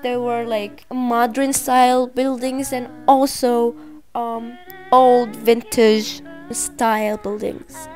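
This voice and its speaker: A woman's voice: a little high, level tone, slow, a little mellow. A young woman from Malaysia narrating a casual travel vlog, chatty and enthusiastic with frequent fillers. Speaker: vlogger. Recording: music playing underneath.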